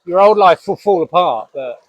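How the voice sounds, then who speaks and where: Scottish-born male host, no accent, earnest and halting, fairly high pitch. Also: expressive, no room sound. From Scotland. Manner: earnest, halting, with frequent restarts. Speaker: spiritual podcast host. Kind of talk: reflective solo talk.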